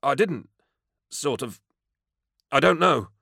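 A man's voice: mid-range voice, lively intonation, slow, creaky, bright, forceful tone, slightly rough, no room sound, clean studio sound, pitched above the performer's own voice, heavily acted. A man voicing a frightened, gawky mining superintendent with a panicky, pleading manner in an audiobook. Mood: nervous.

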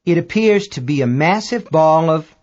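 A man's voice: fairly high pitch, animated, moderate pace, a little mellow, muffled recording, close to an everyday voice. A man voicing a frightened Chinese spaceship crew member for an audiobook. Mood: urgent alarm.

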